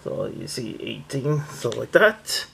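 A man casually demonstrating a product hands-on, narrating step by step in a plain, halting way. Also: big pitch swings, vocal fry, a little hiss or hum. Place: indoors, close tabletop setup. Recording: music from a boombox behind the voice. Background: loud music from the boombox.

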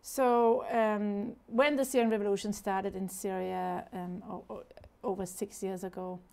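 A woman's voice: mid-range voice, level tone, a little slow, gravelly, a little mellow. A woman from Denmark with a Danish accent, speaking as a guest in an earnest studio interview, with many fillers and restarts. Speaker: charity founder, guest. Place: TV studio set.

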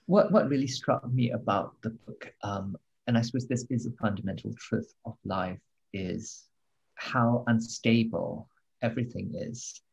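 A man answering as a guest, speaking in a measured, reflective way with small hesitations. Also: fairly low pitch, vocal fry, darkish tone, breathy edge, lo-fi sound. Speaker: literary translator, guest. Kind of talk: interview answer.

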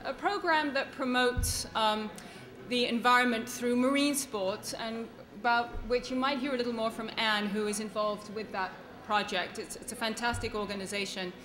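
A woman's voice: fairly high pitch, fairly even intonation, moderate pace, a bit bright. A woman speaking publicly at a launch event as an author, in an earnest, grateful and emphatic manner. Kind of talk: public speech at a book launch. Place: event at an institutional venue, live speech.

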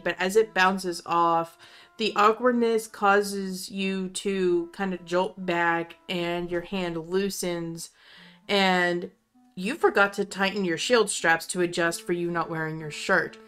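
Slow-paced woman game master narrating. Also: fairly low pitch, fairly bright tone. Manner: descriptive second-person storytelling. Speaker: game master of a tabletop roleplaying game. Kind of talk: narrating and refereeing a tabletop game, casual.

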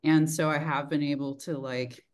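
A woman's voice: sits low, fairly even intonation, normal speed. A middle-aged woman with a mild Texan accent, chatting casually and reflectively on a video call. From rural Texas, United States. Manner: reflective, informal, frequent "like". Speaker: interviewer, host. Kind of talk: casual chat. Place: small indoor room, video call. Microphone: video call, desk distance.

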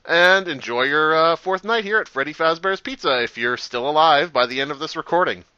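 A muffled, lo-fi, phone-like recording of a man putting on a nervous pizzeria employee for a horror-game parody. His voice is fairly high and quite bright, with very little room sound. He rambles haltingly, hesitating as he offers hollow reassurance to a doomed night guard. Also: animated, clear, resonant tone, dry recording. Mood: cautioning, reassuring. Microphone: cheap replacement microphone. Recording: dull lo-fi cheap microphone, telephone-like.

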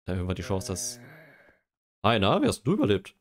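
A man's voice: lowish voice, wildly swooping pitch, brisk. A young man streaming a game, chatting casually and joking while he reads quiz questions aloud and muses over his answers. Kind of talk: casual livestream chat while gaming.

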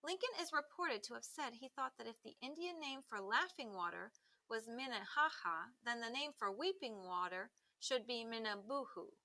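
A woman reading a children's article aloud like a teacher, with careful, expressive emphasis. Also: fairly high pitch, moderate pace.

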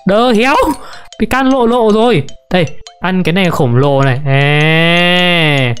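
A young woman giving casual, playful game commentary to her viewers, giggly and often repeating words in quick bursts. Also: medium pitch, sing-song, clear, resonant tone. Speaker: gaming video creator. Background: music.

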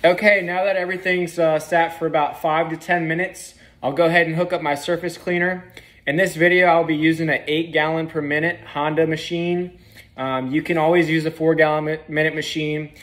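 A man's voice: on the high side, a little fast. Casual young man explaining his trade. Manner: relaxed, plain-spoken, frequent ums and uhs. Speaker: pressure washing business owner. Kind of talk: casual how-to vlog. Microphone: phone camera at arm's length.

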